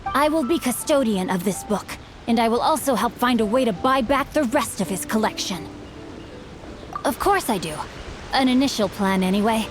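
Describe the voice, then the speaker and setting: Woman voicing a teenage boy swordsman, bookish, chivalrous, polite formal speech, fairly high voice, game dialogue over music. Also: expressive, moderate pace, natural voice. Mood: earnest. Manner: formal, eloquent, earnest. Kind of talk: video game voice acting.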